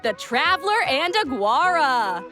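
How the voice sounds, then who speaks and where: High, lively tournament announcer. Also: female voice, measured pace, over music. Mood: upbeat, presenting. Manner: showy, courteous, presenterly. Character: upbeat, polished female tournament announcer. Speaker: host and interviewer. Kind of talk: video game voice acting.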